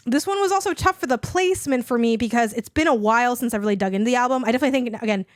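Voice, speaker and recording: A hoarse young woman co-hosting a podcast, chatting casually and rambling with lots of "like". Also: on the high side, rapid-fire, dry recording, studio quality. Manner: rambling, heavy use of "like". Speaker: podcast co-host. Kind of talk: casual chat.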